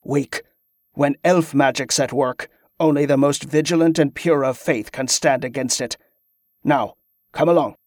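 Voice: medium pitch, a little slow, no echo, clean studio sound, close to an everyday voice. Performer as Liam, a hard, zealous acolyte. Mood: bitter. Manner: curt, rustic inverted phrasing.

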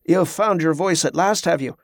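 A man's voice: fairly high pitch, moderate pace, no room sound, studio quality. Man voicing a formal sailing-ship captain. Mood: awkward. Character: male ship's captain, formal, awkward but commanding.

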